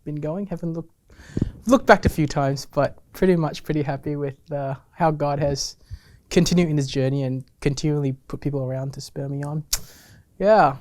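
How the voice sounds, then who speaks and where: A man's voice: fairly high pitch, normal speed, a little mellow. A man in his late twenties from Malaysia, a guest chatting casually in a self-effacing, rambling way. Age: late 20s. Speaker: interview guest, campus ministry missionary. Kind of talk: casual chat.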